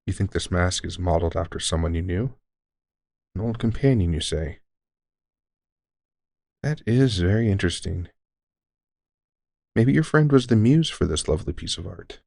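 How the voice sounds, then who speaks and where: A man playing a deranged centuries-old elf shopkeeper who is courteous, formal and unsettlingly calm, in an audio roleplay. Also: deep voice, moderate pace, gravelly, pauses often, no room sound, clean studio sound, full character voice. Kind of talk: scripted audio roleplay addressing the listener.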